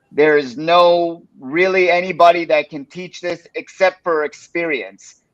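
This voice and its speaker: A man from Los Angeles talks through a presentation in a loose, conversational way, dropping "uh" and "you know" as he goes. His voice sits fairly high for a man. The close, dry sound has a muffled, low-fidelity quality. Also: fairly bright tone, no echo. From Los Angeles, United States. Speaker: presenter of a pitching workshop. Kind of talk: casual live-stream presentation.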